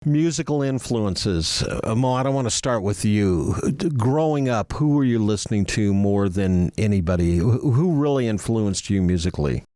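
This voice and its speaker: Guy hosting a podcast, kinda low voice, dry studio mic, quick upbeat questions. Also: expressive, warm tone, no echo. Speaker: podcast host. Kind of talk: interviewing guests.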